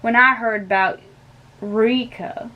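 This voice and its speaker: A woman's voice: fairly high pitch, fairly even intonation, takes it slowly, muffled recording. A woman voicing a weak revived boy. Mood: relieved.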